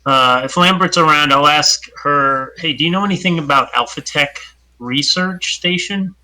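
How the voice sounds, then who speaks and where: A man's voice: on the high side, moderate pace, pushed and bright, poor audio. A man playing in a tabletop game, asking the game master careful, methodical questions. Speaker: player. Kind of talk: casual tabletop play.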